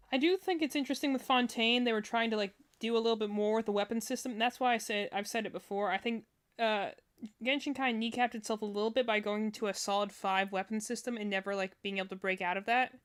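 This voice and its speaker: A woman gives casual livestream commentary as a reaction host. Her voice is mid-pitched. She rambles and thinks out loud as she goes. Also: clear, resonant tone.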